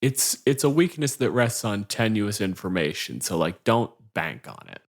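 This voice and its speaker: Low voiced guy doing podcast chat, kinda dry and jokey, swears a bit. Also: very animated, normal speed. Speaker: podcast co-host.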